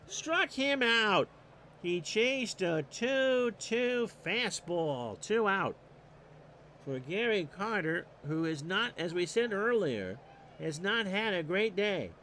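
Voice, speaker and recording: A man doing solo play-by-play commentary on a replayed baseball game. He reads off stats with dry asides and gets louder on the big plays. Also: high voice, takes it slowly, a little hiss or hum. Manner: stat-heavy, dry asides, rising excitement on big plays. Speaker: play-by-play announcer.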